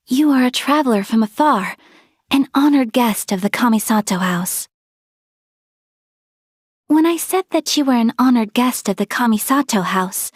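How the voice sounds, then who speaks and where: A young woman playing a refined, gracious noblewoman in a video game, speaking in formal, politely measured diction. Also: on the high side, no room sound, studio quality. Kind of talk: video game character voice lines.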